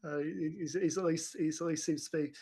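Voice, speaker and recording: A man chatting casually as a podcast panelist, halting and stammering as he repeats words to find his phrasing. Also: a little high, fairly even intonation, mellow, breathy edge. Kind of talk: casual film discussion chat.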